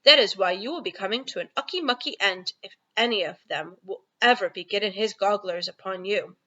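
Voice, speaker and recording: A woman's voice: medium pitch, a little fast, bright, forceful tone, highs cut off. A woman voicing a friendly, boastful storybook giant who talks in mangled grammar and invented comic words. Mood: emphatic.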